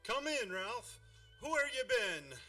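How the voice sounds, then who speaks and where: An actor as high, blustery, excitable dad. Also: male voice, slow pace, full character voice. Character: gruff, excitable, exasperated family father. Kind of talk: radio play acting. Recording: distant microphone, music behind.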